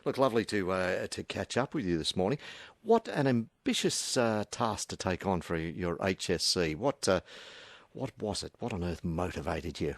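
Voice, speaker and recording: An Australian man hosting a casual live radio interview, asking halting questions with stammered, repeated words. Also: sits low, animated, vocal fry, no room sound.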